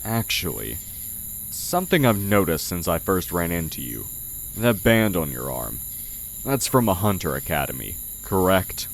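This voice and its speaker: Sly male demon in blacksmith's guise, acted by a man for audio roleplay: very low, unhurried, condescending, crickets behind. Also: sing-song, vocal fry, slight background noise, full character voice, rough recording. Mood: probing, suspicious. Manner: slow, measured, condescending. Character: sly male oni demon posing as a humble village blacksmith. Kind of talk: audio roleplay, intimate character acting. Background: night forest ambience with crickets.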